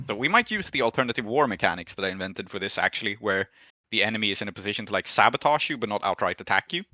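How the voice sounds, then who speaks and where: A man with a lowish, bright, forward voice talks as a game master. He reasons out loud in a casual, explanatory way, offering options to his players. The recording is dry and slightly dull. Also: no echo. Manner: thinks aloud, explanatory, hedging proposals. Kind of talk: casual tabletop roleplay discussion.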